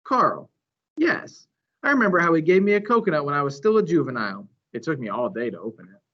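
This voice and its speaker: A man's voice: fairly high pitch, sing-song, quick pace. A man voicing a weary, reflective male gorilla in a storybook. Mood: wistful. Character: weary, pensive big male silverback gorilla. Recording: dull, lo-fi recording.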